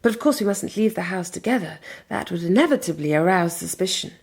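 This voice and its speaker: An actress with an English accent playing a resolute, protective Victorian gentlewoman in a radio drama, speaking earnestly and formally. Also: on the low side, expressive, fast, husky. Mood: earnest, conspiratorial. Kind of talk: radio drama acting with first-person narration.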